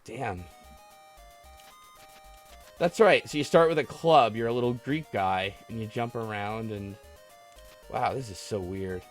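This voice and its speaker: A man's voice: lowish voice, a little slow, pauses often, faint music behind. A man hosting a casual live stream, chatting with callers as he plays a game. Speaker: podcast and stream host. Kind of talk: casual live call-in chat while gaming.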